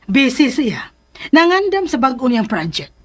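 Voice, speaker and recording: A woman's voice: sits high, sing-song, a little slow, heavily acted. A woman playing a teasing, caring mother of a grown son in a radio drama, with a Cebuano Filipino accent. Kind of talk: Philippine radio drama acting.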